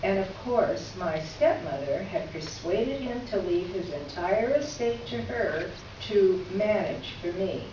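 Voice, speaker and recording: A woman's voice: medium pitch, expressive, slow, hardly any pauses. A woman playing a gracious, wry letter writer in a staged reading, speaking in formal, articulate phrases. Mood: wry, candid. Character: witty, gracious woman writing a candid letter. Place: echoey indoor room, recorded from afar. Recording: echoey room, distant mic, muffled, faint noise.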